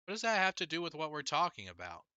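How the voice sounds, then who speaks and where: Exasperated teen big sister, read by a male narrator: emphatic, pleading, argumentative, very bright tone, close dry sound. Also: a little high, wildly swooping pitch, fast talker, clean tone, no echo, muffled recording, pitched above the performer's own voice, heavily acted. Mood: stung, impatient. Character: exasperated, insistent teenage girl, an older sister. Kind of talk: audiobook character dialogue.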